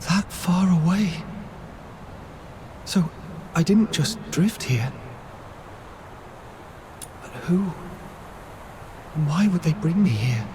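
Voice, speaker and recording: A man's voice: on the high side, lively intonation, slow, long pauses, dark tone, slight background noise. An actor playing a confused, wary young male newcomer in a video game, hesitant and puzzled.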